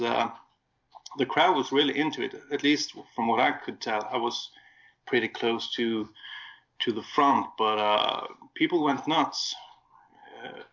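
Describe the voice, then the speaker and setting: A man from Sweden with a Swedish accent, chatting casually and a little haltingly as he recounts things as a guest. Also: mid-range voice, creaky, a bit bright, highs cut off. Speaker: guest recounting a concert he attended. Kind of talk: casual conversation.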